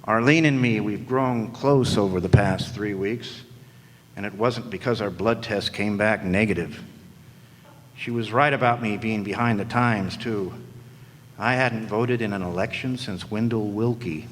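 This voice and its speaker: A man's voice: lowish voice, a little slow, natural voice. A man playing a wisecracking, world-weary private eye in old-time radio noir style, narrating with sardonic slang. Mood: jaded, sardonic. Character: wisecracking, world-weary hard-boiled male private detective. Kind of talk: 1940s radio noir acting, first-person narration. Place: live stage performance in a hall. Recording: echoey hall, background noise.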